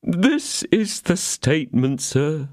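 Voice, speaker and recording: A man's voice: sits high, big pitch swings, a little slow, no echo, studio quality, voice pushed up. A man voicing a frightened, fawning innkeeper who pleads in a lamenting tone. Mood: pleading. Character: trembling fawning middle-aged male innkeeper.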